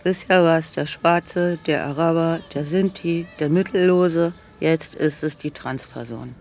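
A woman's voice: low voice, measured pace, darkish tone. A woman acting a sick, blunt and bossy sister in a radio drama, dry and opinionated. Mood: combative.

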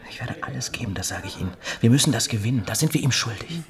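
An actor playing a modest, eager young footballer in a drama, speaking earnestly and a bit haltingly. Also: male voice, medium pitch, animated, natural voice. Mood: earnest, determined. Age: young adult.

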